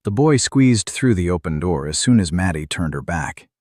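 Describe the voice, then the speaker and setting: A man's voice: low voice, expressive, moderate pace, a little mellow, no echo, clean studio sound. A man reading scripted fantasy fiction as an audiobook narrator.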